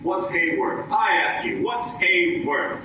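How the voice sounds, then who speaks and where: A man's voice: high voice, expressive, takes it slowly, distant mic, noisy background. A man playing an eager, girl-crazy young sidekick in an old-time radio comedy, boastful and punning. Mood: eager, joking. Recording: echoey live stage, music behind.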